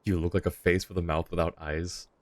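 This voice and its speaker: Deep-voiced streamer chatting over gameplay. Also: male voice, flat delivery, fairly quick, no echo. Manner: casual, chatty, reacting to the game. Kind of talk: live gameplay commentary.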